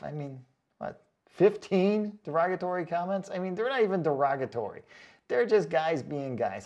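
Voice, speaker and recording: Slightly high-voiced man, chatty and joking. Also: a little mellow. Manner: chatty, joking, sarcastic asides. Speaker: online boot reviewer and video host. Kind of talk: casual vlog reading out viewer comments.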